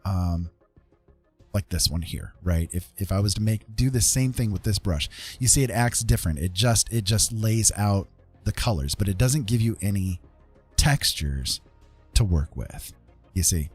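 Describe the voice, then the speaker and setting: A man hosting a casual livestream, rambling informally as he demonstrates digital art, with a husky voice. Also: lowish voice, lively intonation, measured pace, darkish tone, faint music behind. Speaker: livestream host.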